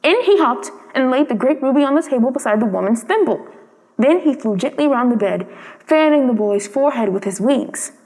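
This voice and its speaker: A woman narrates a storybook in a high voice. She reads from a script as a storyteller. The recording carries a long reverb. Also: animated, fairly quick, a little mellow.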